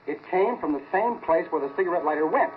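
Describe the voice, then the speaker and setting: An actor playing an excitable, folksy lab assistant in a 1940s radio drama, stammering and eager as he plays announcer. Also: on the high side, fast, a little hiss or hum, natural voice. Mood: puzzled. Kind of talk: 1940s radio acting, naturalistic. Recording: tinny, narrow 1940s radio recording.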